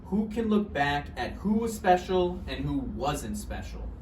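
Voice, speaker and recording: A man's voice: a little high, sing-song, measured pace. A man playing a gloomy, wry old failed novelist on his deathbed in a live table read of a stage play. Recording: echoey noisy room, far from mic.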